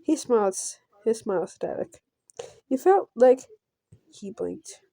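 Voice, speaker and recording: A woman's voice: on the high side, sing-song, a little slow, warm tone, no room sound, clean studio sound. A woman reading a visual novel aloud as a casual playthrough, stumbling over and repeating words as she goes. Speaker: game player narrator.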